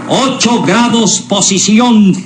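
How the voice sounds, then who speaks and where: Radio drama role: insistent male general, high voice, echoey and very noisy. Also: slow. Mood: urgent, commanding. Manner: insistent, emphatic, repeating orders. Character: urgent commanding male general at mission control. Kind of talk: Spanish-language radio serial acting.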